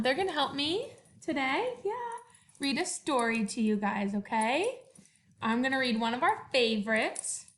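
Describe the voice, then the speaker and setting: A woman with a high voice talks to young children as their teacher. She takes her time, stretching phrases expressively as in a picture-book read-aloud, and sometimes sings the refrains. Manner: expressive, drawn-out storytelling with sung refrains. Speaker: first-grade teacher. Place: at home.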